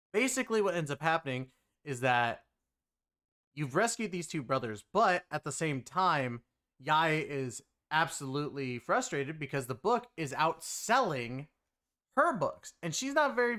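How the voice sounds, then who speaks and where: A man's voice: a little high, a bit bright. A man giving a casual, rambling recap of a video game story, with an emphatic, conversational delivery. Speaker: game streamer and story reviewer.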